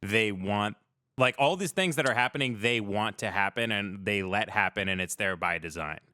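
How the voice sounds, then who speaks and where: A man's voice: fairly low pitch, normal speed, pushed and bright, dry recording, clean studio sound. A man chatting casually on a livestream talk show, speaking informally with slang and swearing.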